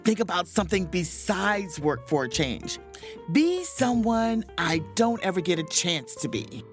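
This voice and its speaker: A woman as a wry, animated starship officer on leave. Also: fairly low pitch, unhurried, soft background music. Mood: flustered, flirty. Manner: wry, self-interrupting, muttering asides. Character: overworked female starship chief mate on vacation, wry, awkward. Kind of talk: audio drama narration and dialogue, romantic comedy.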